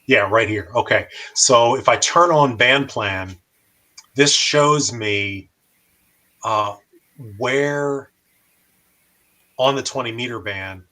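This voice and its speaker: A man hosting a show, giving a live explanatory demonstration in a methodical way with pauses. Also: medium pitch, bright, forceful tone, low-quality recording.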